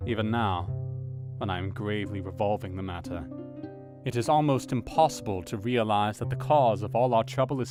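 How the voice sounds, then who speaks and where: A man playing a thoughtful Victorian doctor who narrates his diary in a measured way over music. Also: lowish voice, natural voice. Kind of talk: audio drama reading.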